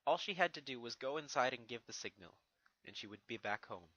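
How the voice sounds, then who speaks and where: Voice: mid-range voice, lively intonation, quick pace, fairly bright tone, no room sound, muffled recording. A narrator reading a fan fiction story expressively from a script, with music behind. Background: background music.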